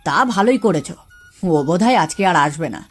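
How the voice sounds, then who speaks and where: A woman plays a young grandson in a village cartoon. The voice sits on the low side for a woman. The boy is caring and plain-spoken, nagging in everyday speech over light music. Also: animated, fairly quick. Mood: casual, unsure. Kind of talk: Bengali cartoon story acting.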